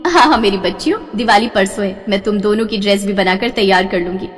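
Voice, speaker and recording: A woman voicing a poor, loving mother who works as a tailor in a cartoon story, speaking gently. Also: medium pitch, fast, distant mic, natural voice. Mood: tender. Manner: tender, gentle. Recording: reverberant with soft background music.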